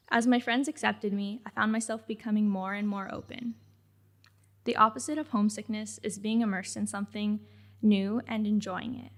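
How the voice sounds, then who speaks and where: Earnest teenage girl giving a speech. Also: medium pitch. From Mexican-American family in California. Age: late teens. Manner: reflective, earnest, reading from a script. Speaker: graduating student speaker. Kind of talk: prepared ceremony speech.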